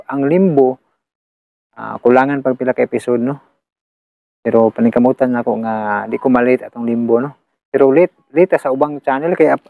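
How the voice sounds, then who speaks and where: Creaky Cebuano man on a phone-like mic. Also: medium pitch, no echo. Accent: Filipino, Cebuano. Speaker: channel host. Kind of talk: casual rambling chat to listeners. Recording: muffled phone-like close recording.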